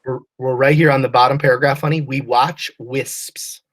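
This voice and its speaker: A teacher with a slightly husky, mid-pitched voice leads a remote read-aloud class. The delivery is encouraging, full of short prompts, word corrections and affectionate nicknames for the pupils. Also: steady tone, moderate pace. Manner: encouraging, calls pupils by pet names. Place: remote video class call. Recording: online class call.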